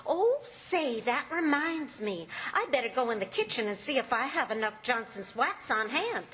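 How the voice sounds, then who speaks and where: A woman plays an affectionate middle-aged wife in old-time radio comedy. She speaks in a fairly high, animated voice, folksy and gently scolding. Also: moderate pace, poor audio. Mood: curious, pleasant. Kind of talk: 1940s radio comedy acting.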